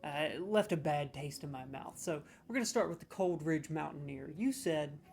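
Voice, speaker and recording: A man's voice: on the high side, normal speed, creaky, soft background music. Profane man in a mock-serious rant. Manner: stammering restarts when excited. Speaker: comedic judge of game voice lines. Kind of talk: comedic ranking rant.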